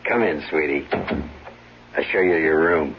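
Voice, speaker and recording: An actor playing a cautious, scheming husband, controlled and conspiratorial, in a muffled 1970s radio drama. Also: male voice, very deep voice, takes it slowly, full character voice. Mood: cool, conspiratorial. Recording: muffled, hissy old radio broadcast.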